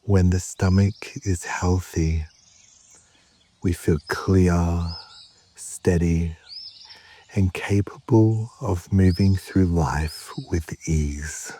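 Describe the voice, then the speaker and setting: Deep, slow, mellow male meditation guide. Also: a little hiss or hum. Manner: calm, measured, with long pauses between short phrases. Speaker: yoga nidra meditation guide.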